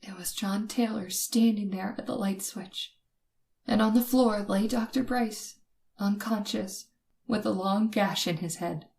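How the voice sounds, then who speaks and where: An actress playing a terrified young woman who narrates her night of terror in old-time radio suspense style, dramatic and halting. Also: medium pitch, fairly even intonation, creaky, darkish tone. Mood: shaken.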